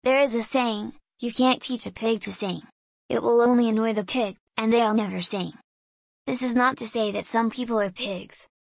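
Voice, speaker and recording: A woman who grew up speaking talks in English, in a fairly high voice. She muses in a slow, deliberate, philosophical way and drifts from one thought to the next. The recording is dry, with no room echo. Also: gravelly. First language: Hungarian. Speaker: small business owner. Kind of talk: reflective solo monologue.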